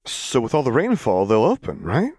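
A man voicing a clever, earnest young woman in an audiobook, soft-spoken and questioning. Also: medium pitch, huge pitch swings, normal speed, husky, dry recording, studio quality, pitched above the performer's own voice. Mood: curious.

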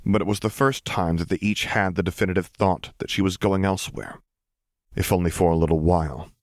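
A man reading scripted fiction as an audiobook narrator, in a measured, dramatic storytelling manner. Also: low-pitched, fairly even intonation, no room sound, studio quality.

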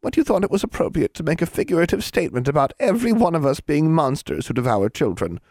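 Storybook hag voiced by a man, female, pitched well up, syrupy and officious with a threatening edge. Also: sing-song, quick pace, darkish tone, no echo, studio quality. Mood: indignant. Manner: indulgent, officious, crooning, mock-sweet. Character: cheerful, menacing hag official, huge misshapen woman. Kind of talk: storybook character voice.

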